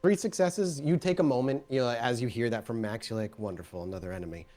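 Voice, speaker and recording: A man's voice: medium pitch, quick pace, darkish tone. A man narrating a tabletop roleplaying game as game master, describing scenes directly to the players.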